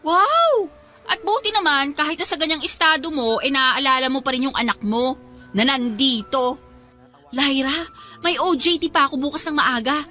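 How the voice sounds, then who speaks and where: A responsible young woman in a radio drama, high and bright in voice. She scolds like an exasperated friend, emphatic and reproachful, with faint music behind. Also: moderate pace. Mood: indignant, reproachful.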